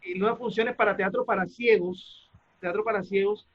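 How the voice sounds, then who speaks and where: A man speaking in a casual panel discussion about his craft, haltingly searching for words. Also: sits high, steady tone, lo-fi sound. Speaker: theater practitioner and panelist.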